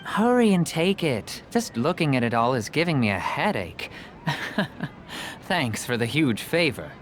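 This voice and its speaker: A man's voice: medium pitch, big pitch swings, moderate pace, soft background music, close to an everyday voice. An actor playing a jovial, persuasive, talkative merchant with a theatrical salesman's manner in an English game dub. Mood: breezy, generous. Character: glib, jovial travelling merchant man, a persuasive storyteller.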